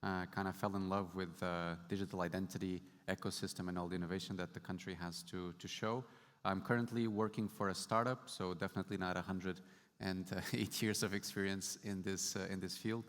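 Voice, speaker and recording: Deep-voiced guy from portugal chatting on a conference stage, a bit of hall echo. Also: level tone, a little fast, a bit bright. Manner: casual, explanatory, light humor. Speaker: startup panelist. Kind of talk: conference panel discussion. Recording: hall reverb.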